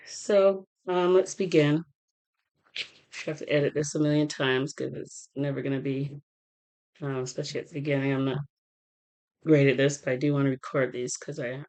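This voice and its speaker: A woman tarot reader talking casually to her viewers, halting with many fillers and restarts while getting over a cold. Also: rumbling low, a little mellow. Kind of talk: casual rambling vlog talk.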